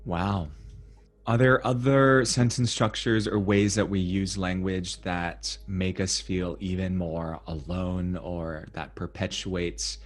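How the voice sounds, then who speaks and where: A man's voice: sits low, flat delivery, takes it slowly. A man hosting a podcast interview, sharing reflective personal stories in a conversational way. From United States. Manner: reflective, storytelling. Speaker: podcast host.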